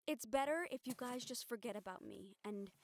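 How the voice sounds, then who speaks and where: A woman's voice: on the high side, expressive, moderate pace, darkish tone, slight background noise. A woman acting a weary, sardonic, stubborn young newspaper reporter in an intimate audio roleplay, talking in a casual, wry, sweary way. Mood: firm, pleading.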